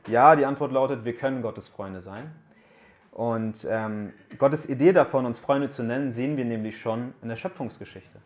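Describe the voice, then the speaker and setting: A man in his thirties speaks at an ordinary pitch to a room of young listeners. His talk mixes teaching with light jokes and questions to the crowd. He sounds relaxed and direct. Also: normal speed. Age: 30s. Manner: casual, joking, asks the audience questions. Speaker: youth speaker at a camp. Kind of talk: sermon talk to young people. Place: room with a live audience.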